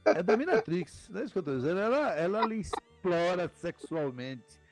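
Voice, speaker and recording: A man's voice: sits high, very animated, a little fast. Older man, podcast host, rambling casual talk, faint music. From Paraíba, Brazil. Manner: chatty, rambling with restarts. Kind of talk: casual interview chat.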